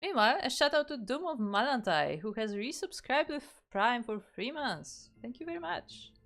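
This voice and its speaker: A woman's voice: a little high, sing-song, moderate pace, fairly bright tone. Polish-accented woman casting a game stream. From Poland. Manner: chatty, thinks aloud with restarts, reads out chat. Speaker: esports caster. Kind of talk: solo game commentary on a stream.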